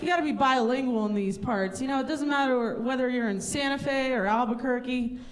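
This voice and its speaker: Female comic from Russia, high voice, wry conversational storyteller working a live stage. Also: a little fast, background noise. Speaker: stand-up comedian. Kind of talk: stand-up comedy before a live audience. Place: live comedy showcase at a lodge venue.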